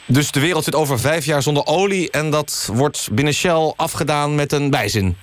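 A man playing an earnest radio news interviewer, deadpan and somewhat halting, in a satirical magazine show. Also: on the high side, close to an everyday voice. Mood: earnest, incredulous. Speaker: radio host.

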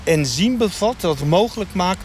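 A man playing an earnest satanic dentist in a mock radio interview, speaking in a halting, matter-of-fact way. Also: high voice, animated, darkish tone. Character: earnest satanic dentist of a sinister sect, male. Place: outdoors in a street. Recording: street noise, passing traffic.

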